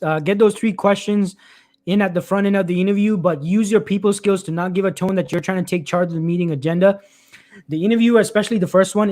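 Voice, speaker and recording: A man hosting a live career stream, answering questions in a loose, repetitive way, clearing his throat often as his voice gives out. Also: high voice. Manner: loose, repeats words and restarts often.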